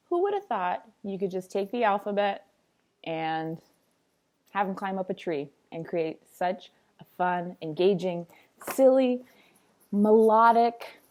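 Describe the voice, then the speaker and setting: A woman's voice: mid-range voice, a little mellow. A woman reading a picture book aloud like a teacher, with a sing-songy, playful, dramatic delivery and chatty asides.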